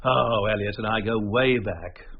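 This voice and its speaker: An actor playing a pompous, tetchy, self-important showbiz agent in a radio drama, full of jargon. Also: male voice, lowish voice, unhurried, vocal fry, narrow, tinny audio, a little hiss or hum. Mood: gossipy. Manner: pompous, jargon-laden, animated.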